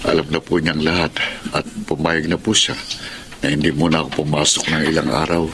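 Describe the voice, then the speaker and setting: A man's voice: extremely low pitch, little pitch movement, fairly quick, fairly bright tone, a little hiss or hum. A man playing a devoted, respectful working father in a Tagalog radio drama. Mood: respectful, relieved.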